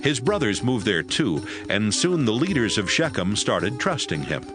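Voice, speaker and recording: A man narrating scripture in a calm, even storytelling voice pitched somewhat low. A faint musical bed sits under his reading. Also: normal speed, music in the background.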